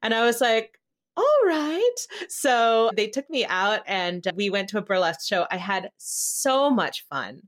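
Woman hosting a podcast, chatty and emphatic, really into it, middle of the road pitch. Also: animated, a little slow. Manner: enthusiastic, emphatic, informal. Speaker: podcast host, performer. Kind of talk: casual conversational interview.

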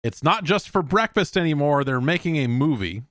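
A middle-aged man hosting a podcast, giving casual solo commentary on news in a rambling, halting way with repeated words. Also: fairly high pitch, animated, a bit bright, no room sound, clean studio sound. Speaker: podcast host.

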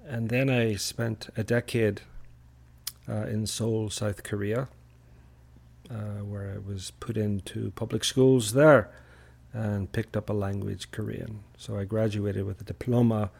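A middle-aged man from Northern Ireland speaks as a guest in a lowish, gravelly voice. His wording is measured and a little formal. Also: fairly even intonation, darkish tone. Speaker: podcast guest.

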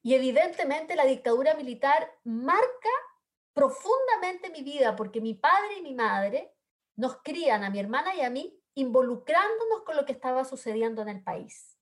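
A woman's voice: high-pitched, sing-song, moderate pace. A woman from southern Chile with a Chilean accent, speaking earnestly as a panelist, with frequent fillers and tag questions. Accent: Chilean Spanish. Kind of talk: panel discussion remarks.

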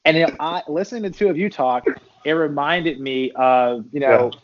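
A man being interviewed as a podcast guest, chatting casually in a loose, bantering, halting way. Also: on the high side, lo-fi sound.

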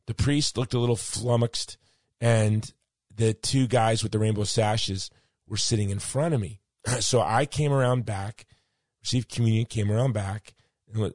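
Hoarse man hosting a podcast. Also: on the low side, no echo, clean studio sound. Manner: rambling, personal storytelling with frequent ums. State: losing his voice, hoarse. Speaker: podcast host. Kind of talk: casual monologue wrapping up a podcast.

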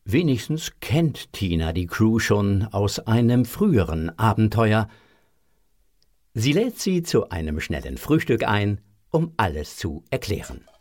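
A man narrating a children's audio adventure in an expressive, husky storytelling voice. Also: fairly low pitch, measured pace, no echo, clean studio sound.

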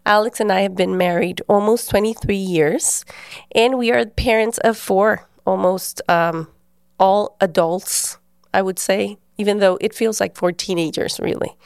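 A woman's voice: mid-range voice, steady tone, a little slow, creaky, darkish tone, smooth, no echo, studio quality. A woman, hosting a podcast and telling a personal faith story in an earnest, conversational way. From Guatemala. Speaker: podcast host.

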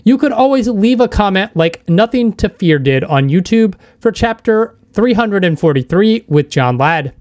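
A man's voice: sits high, lively intonation, normal speed, hardly any pauses, a little mellow, dry recording. A man with an American accent, speaking as a podcast host and interviewer. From United States. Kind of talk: podcast narration and casual interview.

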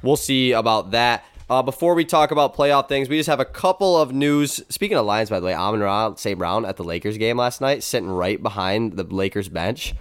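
A young man hosts a sports podcast in a stuffy, congested voice. His tone sits a little low and sounds smooth and clean. He rambles casually with fillers, recorded dry and close. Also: lively intonation, brisk, few pauses, no room sound. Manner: upbeat, rambling with fillers and restarts. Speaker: podcast host.

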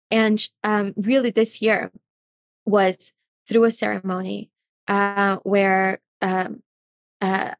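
A woman from Brazil speaks in an earnest, reflective way, often pausing on fillers and restarting her thoughts. The recording is dull and lo-fi, with a close, dry sound and no room around her. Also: mid-range voice, dry recording. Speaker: guest, retreat facilitator. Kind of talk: reflective conversational interview.

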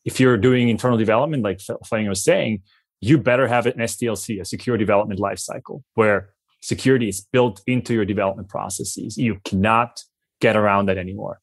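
A man's voice: on the low side, quick pace, gravelly. A man in his forties with a Swiss accent, speaking as an expert on a panel and stressing his points with a tag of "right?". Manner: emphatic, peppers points with "right?". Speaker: CIO and security expert. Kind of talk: panel discussion.

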